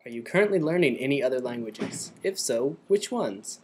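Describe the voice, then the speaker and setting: A man's voice: medium pitch, animated, normal speed, slight background noise. A man narrating and asking interview questions in a scripted, announcing manner.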